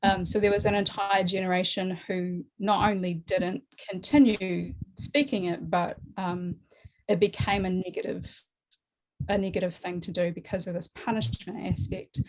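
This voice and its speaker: A woman's voice: on the low side, fairly even intonation, normal speed, darkish tone, rough recording. A woman in her forties with a New Zealand accent, a guest talking casually in an interview, chatty with frequent laughs and many fillers. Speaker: guest artist.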